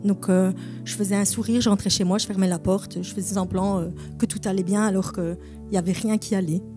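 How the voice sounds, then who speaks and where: A woman's voice: medium pitch, fairly even intonation, fairly quick, music in the background. A woman in her thirties of Italian family background, giving a hesitant, earnest testimony at a church baptism service. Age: 30s. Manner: earnest, hesitant with many fillers.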